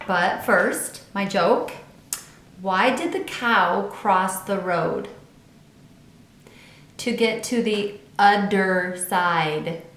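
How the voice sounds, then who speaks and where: A woman's voice: medium pitch, drawn-out words, pauses often, a bit bright. A woman hosting a children's read-aloud, speaking in a chatty, informal way to young listeners. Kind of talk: children's book read-aloud with casual asides. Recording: echoey untreated room.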